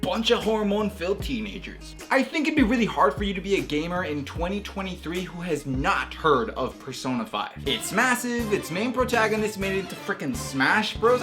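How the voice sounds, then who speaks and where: A young man giving casual, emphatic video-essay commentary on games, with slangy phrasing and drawn-out stressed words. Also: high-pitched. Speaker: video game reviewer, host. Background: background music.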